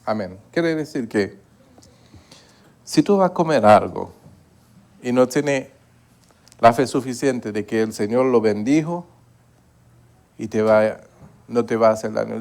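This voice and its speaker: A man's voice: mid-range voice, normal speed, vocal fry, pauses often, a little mellow. A man preaching a Bible study in a church, earnest and repeating himself, often asking his listeners to agree. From Haiti. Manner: earnest, repeats words, asks the congregation to agree.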